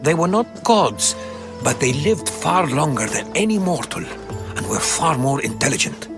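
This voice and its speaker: A learned, secretive older Greek traveller and historian for a video game. He talks like a measured storyteller at a middling male pitch. Music plays clearly behind him. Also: big pitch swings. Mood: earnest, intrigued. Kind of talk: dramatic video game dialogue.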